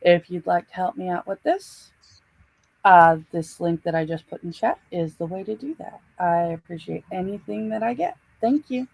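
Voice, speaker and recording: A woman's voice: on the low side, normal speed, creaky, a little mellow, breathy edge, no room sound, rough recording. A woman talking casually on a livestream in a plain, matter-of-fact way.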